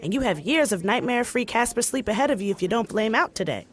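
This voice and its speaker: An actress playing a sassy, unflappable young office assistant in an audio comedy, slangy and flippant. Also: female voice, fairly high pitch, expressive, fast. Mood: teasing.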